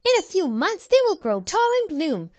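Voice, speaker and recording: A woman's voice: very high-pitched, big pitch swings, normal speed, a little mellow, dry recording, highs cut off, pitched above the performer's own voice. A woman voicing a cheerful, enthusiastic talking garden shovel in a children's storybook. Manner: chirpy, enthusiastic.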